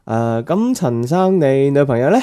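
A man's voice: mid-range voice, big pitch swings, unhurried, warm tone. A man playing a chatty, money-minded wedding photographer in radio drama. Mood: chirpy, incredulous. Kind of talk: Cantonese radio drama acting.

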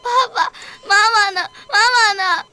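A woman's voice: extremely high pitch, measured pace, bright, forceful tone, faint music behind, heavily acted. An actress playing a young, curious, bookish schoolgirl in a Chinese radio drama. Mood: distraught, pleading.

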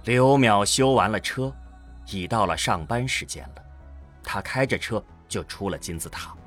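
A man's voice: lowish voice, expressive, moderate pace, a bit bright, faint music behind. A man narrating a science fiction radio drama in a scripted, dramatic storytelling style.

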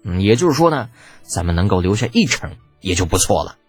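Man putting on cocky young schemer, audiobook, lively swooping pitch, husky, smug and persuasive. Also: brisk, no room sound, faint music behind, full character voice. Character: cocky, glib young male schemer, mock-earnest. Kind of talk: audiobook character acting.

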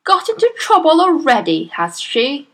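A woman's voice: high voice, wildly swooping pitch, moderate pace, a bit bright, pitched above the performer's own voice, exaggerated voice. A woman voicing a brash, impatient middle-aged father who snaps and blusters. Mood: irritable. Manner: snappy, blustering.

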